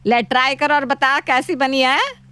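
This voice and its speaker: A woman's voice: sits high, unhurried, bright, forceful tone. A woman voicing a gluttonous, bossy mother-in-law in a cartoon story, dramatic, whiny and wheedling. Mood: smug, bossy. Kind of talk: animated Hindi moral-story cartoon.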